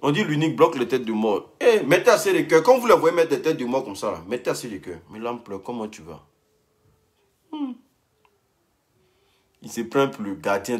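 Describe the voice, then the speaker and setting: Young man with a lively voice. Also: mid-range voice, moderate pace. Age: young adult. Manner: heated, repeats himself, speaks straight at a rival. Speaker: live streamer. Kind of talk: casual live-stream rant.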